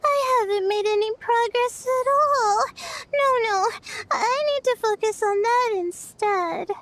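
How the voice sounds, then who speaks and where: A woman's voice: very high-pitched, slow, a bit bright, heavily acted. An actress playing an earnest young woman student who speaks hesitantly and gets flustered. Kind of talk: video game voice acting. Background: game music.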